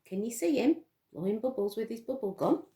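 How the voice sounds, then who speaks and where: A woman's voice: on the high side, lively intonation, fairly quick, dark tone. A woman reading a picture book aloud to young children, expressively, with questions to her listeners. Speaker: storytime reader for children.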